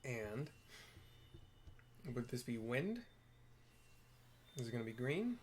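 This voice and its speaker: A man's voice: mid-range voice, very animated, slow pace, darkish tone, slight background noise. A man giving casual, unscripted gameplay commentary, rambling and thinking aloud as he explains what to do.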